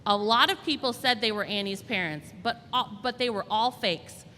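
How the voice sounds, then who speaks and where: A woman storyteller reading a children's storybook aloud in an expressive, deliberate way. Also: on the high side. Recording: reverberant hall, faint background noise.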